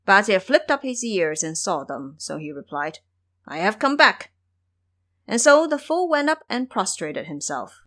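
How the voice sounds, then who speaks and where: Animated woman storyteller. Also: mid-range voice, normal speed, few pauses. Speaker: narrator. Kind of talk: scripted storytelling narration.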